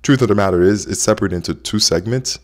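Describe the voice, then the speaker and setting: A man's voice: lowish voice, fast talker. A man in his thirties from Haiti, hosting a casual explainer vlog in a conversational way. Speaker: host, app co-founder.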